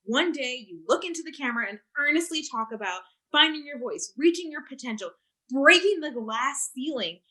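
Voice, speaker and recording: An actress playing an earnest, accusing activist who challenges a talk show host, in a staged play reading on a video call. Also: female voice, high-pitched, animated, normal speed, bright, forceful tone, low-quality recording. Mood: scornful. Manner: accusing, insistent.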